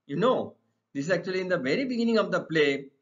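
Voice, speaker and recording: A middle-aged man with an Indian accent, teaching English to students in deliberate, emphatic, repetitive phrases. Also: high-pitched, expressive. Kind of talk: exam lesson explained to students. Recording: dull, lo-fi sound.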